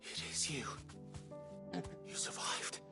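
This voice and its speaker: Guy doing a gruff quiet british hero in a fantasy game, understated, slow delivery, loud music all over it. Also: natural voice. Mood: moved. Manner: terse, understated. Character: reserved, gruff warrior hero, gentle with his animal. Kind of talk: video game cutscene acting.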